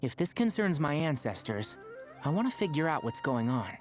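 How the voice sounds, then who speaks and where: An actor playing a caring but dryly exasperated young doctor in a video game, articulate, practical and gently scolding. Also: male voice, on the high side, sing-song, moderate pace. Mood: calm, practical. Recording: faint music under the voice.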